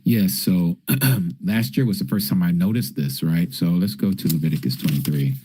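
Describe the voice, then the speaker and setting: A man's voice: low voice, normal speed, warm tone, breathy edge. A man teaching scripture on a livestream, answering viewers' questions in a casual way and often checking in with "okay?". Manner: explains with frequent "okay?" checks.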